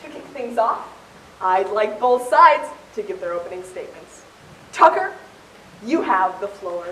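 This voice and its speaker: A woman's voice: mid-range voice, lively intonation, a little slow, a bit bright. A woman playing a theatrical, bossy young debate moderator with grandiose, dramatic emphasis in an amateur stage play. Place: stage in a hall. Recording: echoey hall, distant mic, hissy.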